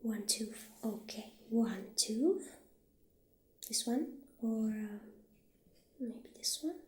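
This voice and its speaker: A woman speaking softly and breathily, often near a whisper, gently playing a friendly witch shopkeeper in an ASMR roleplay. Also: on the high side. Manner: gentle, unhurried, halting. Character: friendly witch shopkeeper showing potion ingredients. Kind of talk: soft-spoken ASMR roleplay. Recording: roomy echo, faint background noise.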